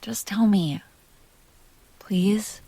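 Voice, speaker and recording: A woman playing a jealous, possessive and fiercely protective young woman in an intimate roleplay audio drama, speaking close to the mic in emphatic, halting bursts. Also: medium pitch, vocal fry, warm tone, no room sound, heavily acted. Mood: pleading, gentle. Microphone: close microphone, dry sound.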